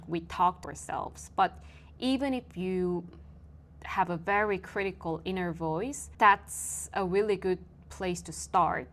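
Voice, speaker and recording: A young woman whose first language is Korean speaks English with a Korean accent. She talks at an unhurried, slow pace in a somewhat low voice, musing aloud with soft fillers. It is a reflective personal monologue. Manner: thoughtful, soft-spoken, with frequent fillers and light laughs. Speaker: vlogger.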